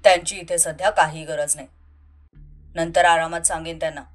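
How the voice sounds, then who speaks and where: A woman acts out a cool, self-assured young groom in an audio romance. The voice is pulled down low, with clipped, domineering delivery. Faint music sits behind it. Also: fairly even intonation, brisk, close to an everyday voice. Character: cool, domineering young man set on his wedding.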